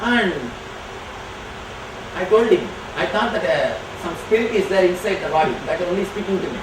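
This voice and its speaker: A man whose first language is Tamil, answering audience questions as a spiritual teacher, speaking slowly and emphatically. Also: high voice, animated. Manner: slow, emphatic and declarative. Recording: echoey hall from far off, noisy.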